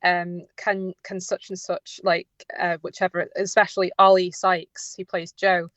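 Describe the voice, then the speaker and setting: Female interview guest, informal and hesitant, slightly low register, dry but lo-fi capture. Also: flat delivery, a little slow, a bit bright, dry recording. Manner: hesitant, informal, self-correcting. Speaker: audio drama writer and producer, interview guest. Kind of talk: unscripted radio interview answers.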